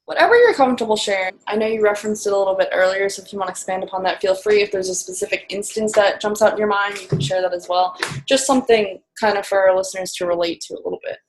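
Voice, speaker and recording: A woman hosting a podcast interview, asking questions in an encouraging, gently prompting way. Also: medium pitch, quick pace, low-quality recording. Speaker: host.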